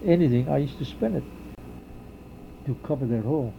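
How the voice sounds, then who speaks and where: An older man from Hawaii, speaking slowly and haltingly with many pauses and restarts, as he answers questions in an oral history interview. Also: medium pitch, creaky, muffled recording, a little hiss or hum.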